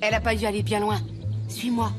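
A woman's voice: mid-range voice, level tone, quick pace, loud music behind the voice, close to an everyday voice. A woman voicing a cheeky, self-assured country schoolboy in a cartoon, casual and teasing. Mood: confident. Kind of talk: French cartoon dub acting.

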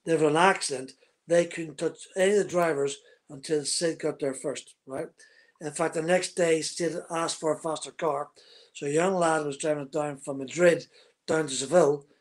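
A husky-voiced man in his fifties tells his story in close detail, gesturing as he goes. His voice sits a little high for a man. He is speaking into a webcam on a video call from a room at home. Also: steady tone, moderate pace. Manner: vivid, detailed storyteller, talks with his hands. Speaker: guest, former racing driver.

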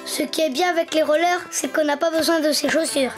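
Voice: sits high, fairly even intonation, a little fast, natural voice. A performer voicing a cheerful, boastful little boy donkey in a children's cartoon, with playful, simple phrasing. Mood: eager. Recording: music behind the voice.

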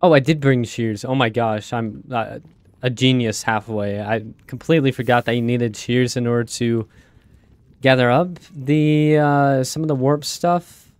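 A young man with a raspy voice from a cold, rambling casually with many fillers as he plays a game. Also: medium pitch, steady tone, unhurried, a little mellow, dry recording. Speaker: let's play host. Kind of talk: casual gameplay commentary.

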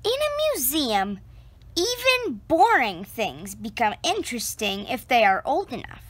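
A woman reading a children's picture book aloud, slow and expressive, close to the camera indoors. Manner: slow, expressive storytelling with lively pitch.

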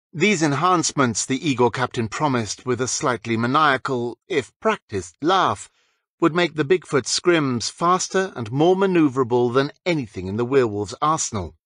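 A male audiobook narrator reads a scripted story with very lively intonation. His medium-pitched voice sits in a dry, echo-free recording.

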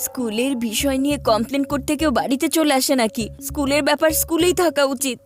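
Cartoon schoolboy, played by a woman: high voice, nervy schemer, wheedling and exclamatory, music underneath. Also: quick pace, close to an everyday voice. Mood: worried. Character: anxious, scheming schoolboy, the older brother. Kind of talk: dubbed children's cartoon acting.

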